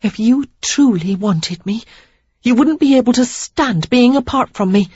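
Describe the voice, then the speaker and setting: A woman's voice: fairly high pitch, expressive, unhurried, darkish tone, breathy edge, no echo, muffled recording, pitched above the performer's own voice. A woman reader voicing an upset young woman who pleads and insists, close to tears. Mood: pleading, resolute. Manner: pleading, emphatic, near tears. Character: young woman, frustrated and resolute suitor.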